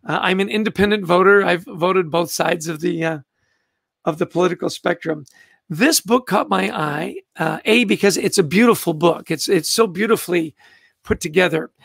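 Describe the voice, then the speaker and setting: An older man from Canada hosting a casual live book club, chatting warmly about favorite books and greeting viewers by name. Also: high voice, normal speed, vocal fry, breathy edge, no echo, clean studio sound. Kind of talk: casual livestream book chat.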